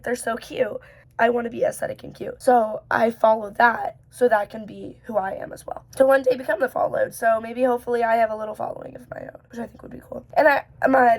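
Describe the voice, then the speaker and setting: A woman vlogger with a hoarse voice, still recovering from losing it, who talks casually and thinks aloud with lots of fillers and self-questioning. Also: a little high. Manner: rambling and musing, many fillers and self-questions. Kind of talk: casual reflective talk to camera.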